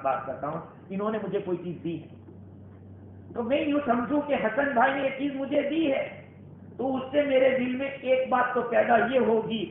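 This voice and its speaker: High-voiced male preacher, heavy echo. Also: phone-quality sound. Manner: exhortative, rhetorical questions, swelling and dropping emphasis. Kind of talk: Friday sermon. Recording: band-limited, very echoey hall, faint noise.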